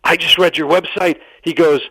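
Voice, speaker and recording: A man's voice: on the high side, fairly even intonation, normal speed, fairly bright tone, breathy edge, dry recording, rough recording. A middle-aged man telling a personal story as a radio interview guest, earnest and dramatic, acting out the dialogue and repeating phrases for emphasis.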